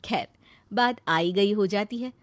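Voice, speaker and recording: A woman's voice: medium pitch, measured pace, no room sound. A woman narrating a scripted, dramatised story as its storyteller.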